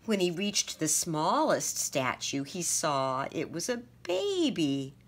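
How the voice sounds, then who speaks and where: Slow, expressive woman reading a picture book. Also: low-pitched, slight background noise. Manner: expressive, gentle storytelling. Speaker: teacher reading aloud. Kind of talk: children's picture book read-aloud.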